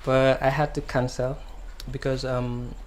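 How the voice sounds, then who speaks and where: A young man from the Gambia, a singer-songwriter, answering interview questions softly and hesitantly, with many pauses and ums. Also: mid-range voice, little pitch movement, slight background noise.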